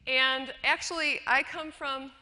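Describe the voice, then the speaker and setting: Bright-voiced woman in reverberant hall. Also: sits high, steady tone, unhurried. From rural western New York, USA. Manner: chatty, self-deprecating, with fillers and restarts. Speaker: conference speaker, educator. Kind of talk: conference talk with slides. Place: lecture hall.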